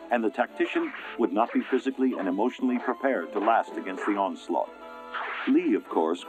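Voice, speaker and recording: A man reading scripted documentary narration in a measured, even way. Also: mid-range voice, low-quality recording. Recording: narration over music.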